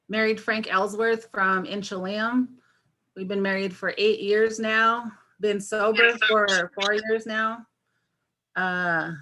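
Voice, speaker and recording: A woman's voice: mid-range voice, pushed and bright. Fifty-year-old woman candidate on a video call. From Washington State, US. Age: 50s. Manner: halting, many fillers and restarts. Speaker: election candidate. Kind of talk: candidate forum introduction.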